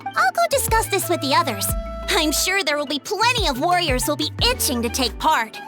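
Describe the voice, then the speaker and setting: A woman's voice: sits high, sing-song, normal speed, over music. A woman voicing an eager, sweet young girl warrior in a video game. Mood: eager, excited.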